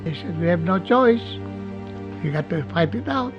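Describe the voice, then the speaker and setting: An elderly man with a Filipino accent recalls his war days in a high, lifted voice. He tells it vividly, acting out sounds and quoting orders. Music plays under him. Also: animated, moderate pace, muffled recording. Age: older. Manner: vivid, acts out sounds and quotes. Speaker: war veteran interviewee. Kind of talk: personal war storytelling.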